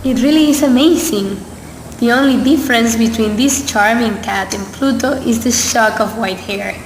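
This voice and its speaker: High-voiced gentle wife, played by an actress, gothic radio drama, wondering, very echoey hall. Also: female voice, moderate pace, natural voice. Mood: fascinated. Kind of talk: radio play acting. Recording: echoey hall reverb, background noise.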